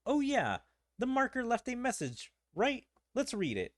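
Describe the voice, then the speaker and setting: Plucky game adventurer, voiced by a man: eager, chatty, exclaiming, pitched up high, animated. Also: measured pace, dry recording, clean studio sound, heavily acted. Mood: curious. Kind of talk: reading game story dialogue aloud.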